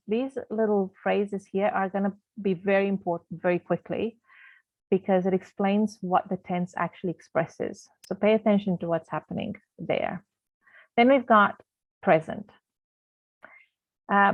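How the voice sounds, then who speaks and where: A woman teacher from Canada, whose first language is not English, explains grammar patiently, with many fillers and restarts. Her voice comes through a tinny, phone-like video call. The sound is dry, with no room echo. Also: medium pitch. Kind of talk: online grammar lesson.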